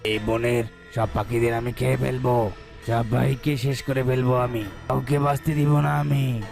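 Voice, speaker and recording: A man voicing a gravelly, threatening, boastful monster eagle in a children's cartoon. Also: medium pitch, level tone, moderate pace, a little mellow, faint music behind, natural voice. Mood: menacing. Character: menacing man-eating monster eagle, bent on slaughter.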